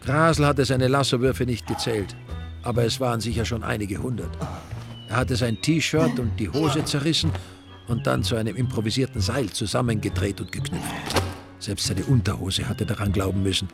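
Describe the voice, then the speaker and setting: A man narrating a crime radio drama as a wry, deadpan storyteller. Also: medium pitch, normal speed, gravelly, over music, lightly acted. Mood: wry, suspenseful. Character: wry male third-person storyteller of a crime tale.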